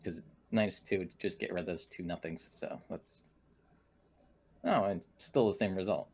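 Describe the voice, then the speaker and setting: A man's voice: sits low, moderate pace, a little mellow, slight background noise. A man playing a tabletop game, talking casually in short, broken phrases.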